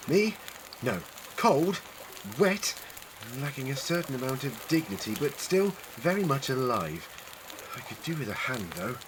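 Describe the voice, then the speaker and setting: A man acting as an eccentric, dignified male time-traveller in an audio drama. He is wry and wordy, with lively swoops in pitch and clear noisy ambience behind him. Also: unhurried. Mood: wry, indignant. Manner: wry, formal, wordy, gently authoritative. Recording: prominent crackling ambience noise.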